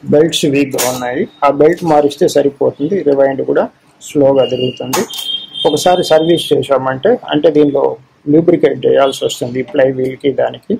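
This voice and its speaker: Man, soft dark timbre, technician's explanatory commentary, halting phrases, noisy home-made recording. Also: medium pitch, creaky. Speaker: electronics repair technician and presenter. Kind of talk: informal repair demonstration commentary.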